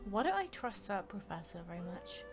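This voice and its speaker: A woman's voice: mid-range voice, very animated, fairly quick. A woman streamer giving casual, offhand commentary while playing a video game. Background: clear game music.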